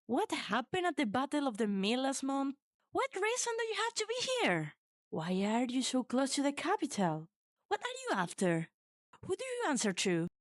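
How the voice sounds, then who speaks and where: A woman's voice: on the high side, dry recording, clean studio sound. A woman playing a brash, cocky, menacing young female mercenary in an amateur game fan dub, with a sardonic, teasing manner. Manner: sardonic, foul-mouthed, teasing.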